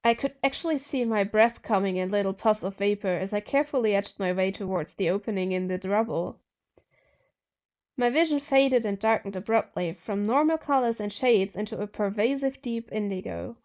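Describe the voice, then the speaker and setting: A woman's voice: medium pitch, normal speed, muffled recording. A woman narrating first-person fiction expressively as an amateur audiobook reader.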